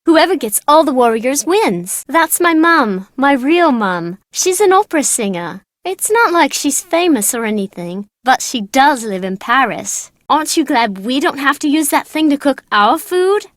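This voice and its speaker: A precocious, high-voiced young girl. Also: sing-song, unhurried, few pauses, dry recording, studio quality. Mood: eager, explaining. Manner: precocious, playful, matter-of-fact. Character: bright, cheeky, bookish young girl who loves games. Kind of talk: video game character voice acting.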